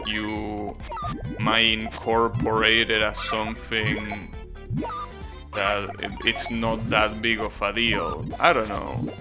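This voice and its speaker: A man giving casual live gameplay commentary as a streamer, often repeating words hesitantly and swearing offhandedly. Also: on the low side. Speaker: video game streamer. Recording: game music behind the voice. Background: video game music.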